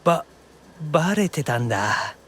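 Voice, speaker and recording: An actor playing a youthful, excitable demon younger brother in an anime audio drama, casual and expressive in his reactions. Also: a little high, unhurried, heavily acted. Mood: startled.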